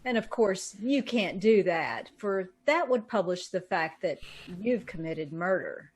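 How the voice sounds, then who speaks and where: A woman plays a cold-blooded schemer from an old radio thriller. She sits in a middle register and talks down to people with calm menace, like someone who has planned every step. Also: mid-range voice, a little slow, slightly rough. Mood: smug. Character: cold, scheming murderess pretending to be another woman. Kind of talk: old-time radio drama acting.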